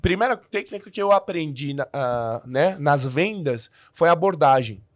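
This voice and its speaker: A man's voice: on the high side, expressive, normal speed, no echo, clean studio sound. A man who learned the language as an adult, a podcast guest telling his story in a casual way with many restarts and fillers.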